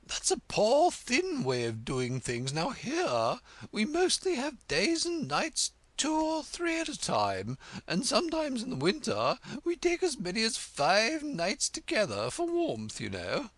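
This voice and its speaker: A storybook reader voicing an imperious, bossy queen who speaks in loud, drawn-out commands. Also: mid-range voice, huge pitch swings, no echo, voice pushed up. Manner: commanding, drawn-out emphatic words.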